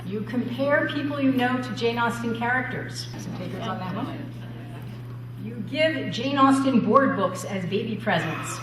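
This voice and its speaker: A woman's voice: fairly high pitch, lively intonation, unhurried. A middle-aged woman speaking as an author about her subject to the public. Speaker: author giving a book talk. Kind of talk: public talk and interview. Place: large library meeting hall. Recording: roomy hall, slightly distant, audience noise. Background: audience chatter.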